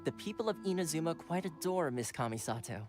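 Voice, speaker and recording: A courteous young gentleman retainer from a video game, played by a male actor, speaking in a very lively, swinging pitch. He is playful and smooth-talking yet formal, with music playing behind him. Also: fast, natural voice. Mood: warm, explanatory. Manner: polite, playful, formal phrasing. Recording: game dialogue over background music.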